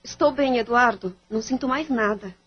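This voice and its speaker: A woman acts as a fragile young daughter in a radio play. Her voice is high, polite and troubled by suffering. The recording sounds slightly dull. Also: moderate pace, husky. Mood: reassuring, apologetic. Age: young adult. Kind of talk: audio drama acting. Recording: muffled, lo-fi audio drama.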